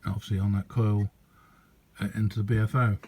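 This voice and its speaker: A man giving a casual, rambling hands-on demonstration as a radio hobbyist, chatty and hesitant in an unhurried way. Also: fairly low pitch, little pitch movement, mellow, a little hiss or hum.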